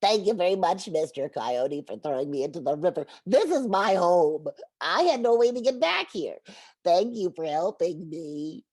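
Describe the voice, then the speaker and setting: A woman's voice: on the low side, very animated, normal speed, darkish tone. A woman voicing a small, wily male river turtle in a folktale, in an emphatic, theatrical manner. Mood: triumphant.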